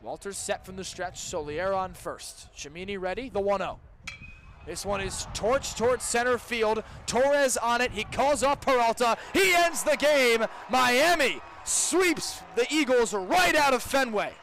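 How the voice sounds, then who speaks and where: A young man with a high-pitched voice gives live baseball play-by-play on student radio. His calling is detailed and descriptive, jumping in intensity when the action heats up. Light outdoor ballpark noise sits behind him. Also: measured pace. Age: young adult. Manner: excited surges on big plays, detailed descriptive calling. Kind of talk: live radio sports commentary. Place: outdoor baseball stadium broadcast. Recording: noisy outdoor ballpark ambience.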